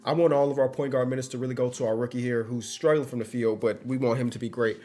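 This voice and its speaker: A man's voice: mid-range voice, little pitch movement, quick pace, warm tone. A congested man giving casual, slangy commentary while playing a basketball video game. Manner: loose, slangy and upbeat. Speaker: gaming YouTuber.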